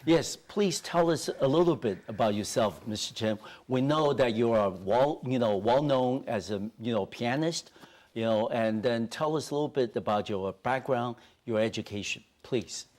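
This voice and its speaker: A man's voice: mid-range voice, normal speed, darkish tone. A middle-aged man hosting a TV interview show in a studio, polite and leaning on "you know" fillers, on a lapel mic. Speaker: TV talk show host.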